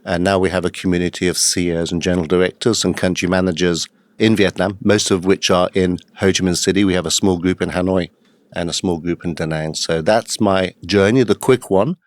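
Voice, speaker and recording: A man in his fifties, a guest in a podcast interview, telling his story in a steady, matter-of-fact way with the odd hesitant "uh.". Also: sits low, fairly quick, dry recording. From England. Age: 50s. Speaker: guest, executive coach.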